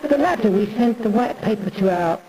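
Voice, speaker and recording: An imperious Tudor queen, as a stage performer acts her out, delivers an aggrieved parody monologue. The delivery is pompous, rambling and declamatory, at a middling pitch, with crowd noise clearly behind it. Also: lively intonation, fairly quick, close to an everyday voice, rough recording. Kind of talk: scripted theatrical parody monologue.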